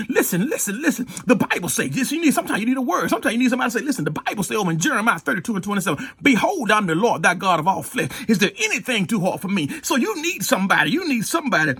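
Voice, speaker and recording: A male pastor delivers an informal, exhortative sermon. His voice sits fairly high and has a husky grain. He speaks in a folksy way, repeating phrases for emphasis, and the sound is close and dry with little room in it. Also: pushed and bright, dry recording.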